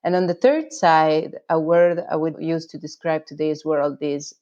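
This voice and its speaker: Low-voiced Croatian-accented woman, slightly dull audio. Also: fairly even intonation, unhurried, a little mellow. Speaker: interview guest, urban activism project founder. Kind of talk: conversational interview answers.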